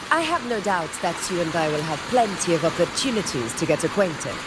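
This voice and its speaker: An actress playing a proud, commanding gladiator leader in a video game, speaking formally with stately self-assurance. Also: female voice, on the low side, heavy background noise. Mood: bold, brisk. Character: proud, commanding female gladiator war leader, regal and battle-hungry. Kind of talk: dramatic video game character dialogue.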